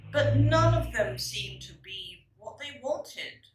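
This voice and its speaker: A woman teacher reads a children's story expressively, pausing to explain and address young listeners in a deliberate, instructive way. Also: on the high side, animated. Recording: roomy echo, distant microphone.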